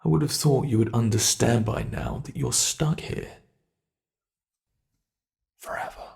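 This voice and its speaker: A man playing a sadistic, gloating monster from under the bed in an ASMR horror roleplay, taunting and savoring every word with a gravelly voice. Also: mid-range voice, measured pace, a little mellow. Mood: menacing, mocking.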